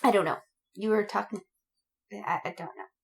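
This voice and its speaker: A woman's voice: mid-range voice, sing-song, normal speed, a little hiss or hum. A woman podcast co-host in a casual chat, talking in a giggly, gushing fangirl way. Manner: giggly, gushing fan enthusiasm. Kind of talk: casual chat about anime and fandom.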